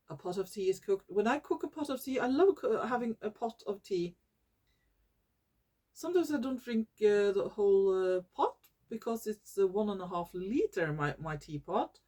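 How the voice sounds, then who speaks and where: A Norwegian woman vlogging in English, her second language, often pausing to search for words and correcting herself. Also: mid-range voice, expressive, warm tone. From Norway. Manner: slow and searching for English words, many fillers and self-corrections. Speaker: YouTuber. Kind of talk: casual talking-head vlog.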